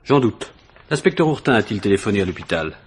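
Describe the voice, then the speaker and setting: An actor playing a probing, ironic police inspector in a 1950s-style French radio mystery, falsely casual and insistent, with hesitant, insinuating questions. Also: male voice, lowish voice, sing-song, fast, a little hiss or hum. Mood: skeptical, probing.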